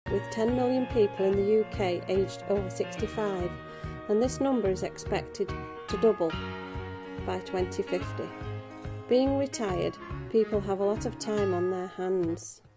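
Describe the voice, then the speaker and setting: A mature woman giving a clear, measured voice-over for a documentary. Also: mid-range voice. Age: middle-aged. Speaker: documentary narrator. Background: music bed.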